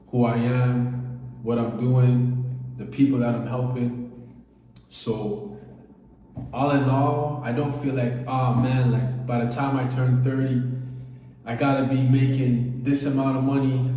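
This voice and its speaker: Young man in his late 20s, earnest and laid-back, mellow voice, echoey roomy sound from far off, faint background ambience. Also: mid-range voice, slight background noise. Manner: relaxed, earnest, speaks in casual slang. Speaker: vlogger. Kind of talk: reflective casual monologue. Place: abroad, recorded on location.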